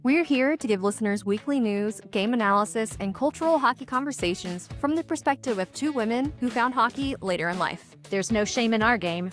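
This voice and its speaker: Woman, scripted podcast intro, upbeat read, faint music bed. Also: mid-range voice. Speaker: intro announcer.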